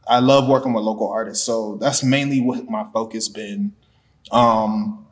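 Slow-talking laid-back male DJ. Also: on the low side, steady tone. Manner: laid-back, hesitant, joking. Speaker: guest, DJ. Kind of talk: casual interview chat.

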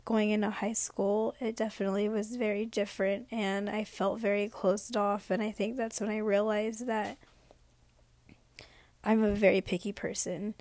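A sick young woman who is losing her voice talks in a rambling, reflective way in a late-night personal vlog. She is hoarse and sometimes drops into a whisper. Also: mid-range voice, level tone, normal speed, a little mellow. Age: young adult. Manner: rambling, reflective, many fillers.

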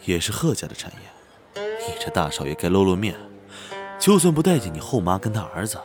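An actor playing a chatty, nosy, worldly friend of a rich heir, casual and teasing in audio drama dialogue. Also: male voice, low-pitched, sing-song, a little fast, over music. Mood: teasing, curious.

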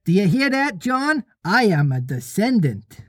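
A performer doing excitable, high-pitched little boys. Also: unhurried, dry recording, studio quality. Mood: glad, proud. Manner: eager, exclamatory childlike delivery.

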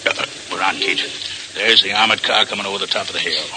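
An actor playing a cocky American crook plotting a robbery in a 1940s radio drama, on a noisy vintage recording. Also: male voice, sits low, steady tone, fast talker, lightly acted. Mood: cool, patient.

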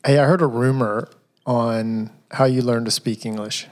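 A man's voice: medium pitch, fairly even intonation, moderate pace. A man co-hosting a church podcast who asks casual, playfully teasing follow-up questions. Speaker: podcast co-host.

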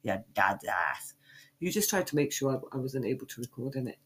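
A woman's voice: rumbling low, big pitch swings, brisk, background noise. A British woman co-hosting a podcast, swearing and teasing her friend in crude banter, her voice congested from a cold. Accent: British English. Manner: swearing, teasing, playful and loud. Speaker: podcast co-host.

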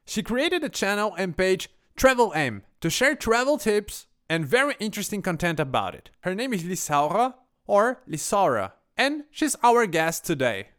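A man hosting a podcast, reading a scripted sponsor spot and introducing the guest in a lively promo delivery. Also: high-pitched, moderate pace. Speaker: podcast host.

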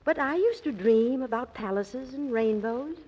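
A woman's voice: on the high side, animated, unhurried, breathy edge, long reverb tail, highs cut off. An actress playing a kindly, practical middle-aged aunt, motherly, firm and bustling, in 1940s radio drama style. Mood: firm, gentle. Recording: noisy old radio recording.

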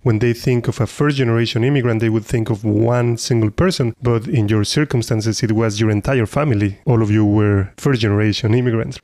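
A man's voice: on the low side, fairly quick, hardly any pauses, a little mellow. A man hosting a podcast, reading a formal introduction and asking interview questions in a polite way. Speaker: podcast host.

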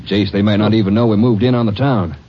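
An actor playing an eager, tough Texas Ranger sidekick in a 1950s radio crime drama. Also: male voice, on the low side, steady tone, fast talker, lightly acted. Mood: concerned. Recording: muffled vintage radio recording with music.

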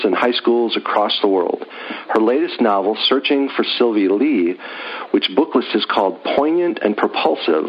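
A man hosting a podcast, interviewing a guest in a friendly, self-deprecating way. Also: on the low side. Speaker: podcast host. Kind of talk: interview conversation. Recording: muffled lo-fi audio.

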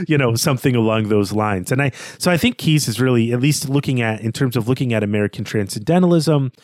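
A man's voice: medium pitch, no echo, studio quality. A man co-hosting a podcast in a literary discussion, earnest and analytical, often repeating and rephrasing his words as he goes. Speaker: podcast co-host.

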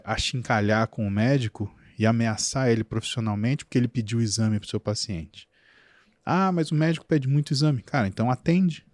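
A man with a Brazilian Portuguese accent, a physician talking on a podcast in an animated, emphatic storytelling way. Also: medium pitch, no echo. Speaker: physician guest. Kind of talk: casual podcast interview.

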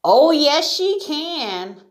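Woman voicing outspoken jungle animals, pitched high. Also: very animated, slow. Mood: defiant. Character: chorus of outspoken jungle animals scolding boldly. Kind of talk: children's storybook character voice.

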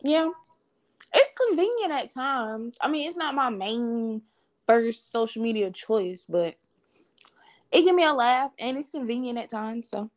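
A woman's voice: fairly high pitch, lively intonation, unhurried, no echo, studio quality. A woman co-hosting a podcast in a casual chat, playful, laughing often and using slang. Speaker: podcast co-host.

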